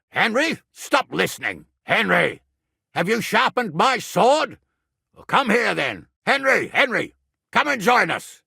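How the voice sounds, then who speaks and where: A man playing a middle-aged medieval lord and guardian in a video game, blunt, commanding and earthy, with a gravelly, raspy voice. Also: high voice, bright, forceful tone, no echo, studio quality, full character voice. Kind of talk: dramatic video game dialogue.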